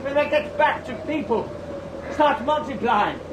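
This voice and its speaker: A man playing an isolated, frightened scientist in an audio drama, speaking in an anxious, halting way. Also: lively intonation. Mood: wary, brooding. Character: isolated, frightened male scientist pleading his humanity. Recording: distant, echoey, with clear rumbling noise.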